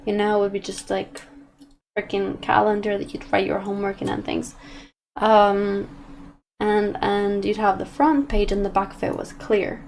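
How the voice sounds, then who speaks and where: A woman's voice: mid-range voice, vocal fry, darkish tone, slight background noise. A young woman with a British accent and a slight Australian twang, rambling through casual stream chat with many restarts while she paints.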